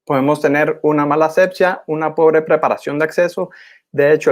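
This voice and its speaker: A young man gives a formal academic presentation in a measured, didactic manner as a postgraduate student. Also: a little high. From Guatemala. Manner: measured, didactic, clearly enumerating.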